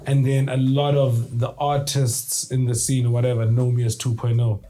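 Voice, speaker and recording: A man from South Africa, a music producer, answering interview questions in a laid-back, unhurried way. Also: medium pitch, flat delivery, mellow, slight background noise. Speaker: guest, producer and rapper.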